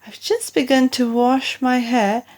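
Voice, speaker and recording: Woman as a high-voiced, breezy storybook heroine. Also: fairly even intonation, unhurried, darkish tone. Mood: breezy, assured. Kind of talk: children's storybook character voice.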